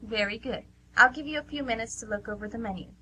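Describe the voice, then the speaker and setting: A woman voicing a polite, brisk restaurant waitress in an amateur radio play, with courteous service patter. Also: fairly high pitch, pushed and bright, breathy edge. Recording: noisy amateur radio recording with hum.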